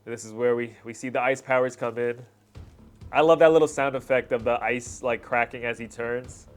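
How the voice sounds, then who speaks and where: A man's voice: medium pitch, steady tone, normal speed. Casual slangy man reacting over music. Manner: informal slangy fan talk. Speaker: reaction video host. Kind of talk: casual reaction commentary on fight scenes.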